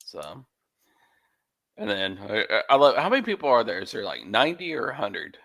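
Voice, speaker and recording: A laid-back man speaks low with a gravelly edge in easy podcast banter. He laughs often and lets his thoughts trail off unfinished. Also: huge pitch swings, normal speed, pushed and bright. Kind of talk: casual chat.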